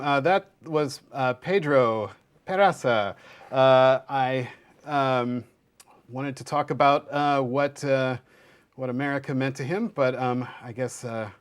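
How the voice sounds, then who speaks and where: A man hosting a casual show, speaking hesitantly with many pauses and fillers. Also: mid-range voice. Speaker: host.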